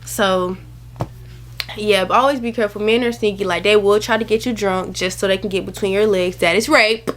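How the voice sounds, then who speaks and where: A woman's voice: medium pitch, fairly even intonation, fairly quick. A woman in her early twenties talking straight to camera in a chatty, rambling, emphatic way, giving casual advice with plenty of slang. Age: early 20s. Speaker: vlogger. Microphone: camera mic.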